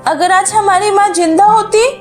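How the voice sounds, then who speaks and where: A woman's voice: very high-pitched, fairly even intonation, moderate pace, faint music behind. A woman voicing a poor, proud and earnest schoolgirl in a cartoon story, with a pleading, wounded manner. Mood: indignant.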